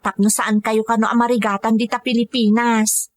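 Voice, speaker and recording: An actress plays a devoted daughter working overseas as a caregiver, with a fairly high voice and an Ilocano accent. She speaks earnestly and respectfully, in radio drama style. The recording is close and dry. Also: little pitch movement, moderate pace, no echo, natural voice. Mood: respectful, reassuring.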